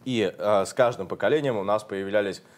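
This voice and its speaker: A man's voice: lowish voice, a little fast. A man interpreting an interview consecutively, translating steadily with occasional hesitations.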